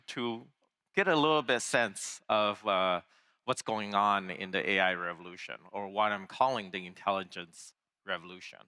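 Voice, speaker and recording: Bright-voiced man giving a keynote. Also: fairly low pitch. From Taiwan. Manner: conversational, with fillers and restarts. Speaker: keynote speaker. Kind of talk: conference keynote talk. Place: large conference hall.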